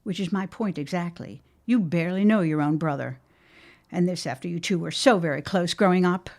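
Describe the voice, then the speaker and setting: A woman's voice: lowish voice, fairly quick, a little mellow, natural voice. An actress playing a stubborn, sentimental middle-aged widowed mother in a period audio drama, theatrical and insistent with old-fashioned phrasing. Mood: pleading.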